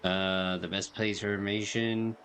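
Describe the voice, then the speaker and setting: A man's voice: lowish voice, little pitch movement, moderate pace, fairly bright tone. Laid-back male gamer over faint music. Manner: laid-back, slangy, chatty. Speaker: gaming streamer. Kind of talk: casual game commentary. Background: faint game music.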